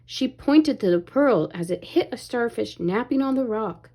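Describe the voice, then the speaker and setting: A woman reading a children's story aloud in an expressive, animated way, as if to young listeners. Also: on the high side, moderate pace. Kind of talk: expressive read-aloud narration, pausing to ask children questions.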